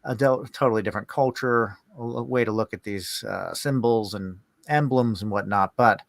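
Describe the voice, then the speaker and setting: A man hosting a podcast in casual, rambling talk, musing aloud in halting phrases. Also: fairly low pitch, vocal fry, dry recording, clean studio sound. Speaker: podcast host.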